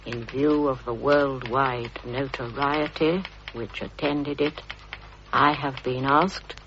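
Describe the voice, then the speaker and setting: An actor playing a sober narrator recounting a case, in a measured, formal book-reading style. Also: male voice, mid-range voice, gravelly. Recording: noisy, dull old radio recording.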